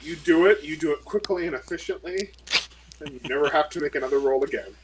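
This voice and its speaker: A man's voice: on the high side, fast talker, background noise. A man playing a tabletop game over a call, thinking aloud and teasing the others. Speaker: player.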